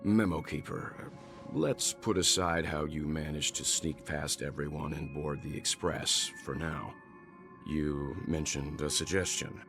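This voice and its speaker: Very deep-voiced wise mentor. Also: male voice, vocal fry, over music, exaggerated voice. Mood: businesslike. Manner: measured, thoughtful, articulate. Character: wise, measured older male mentor and ship's navigator. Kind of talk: video game voice acting.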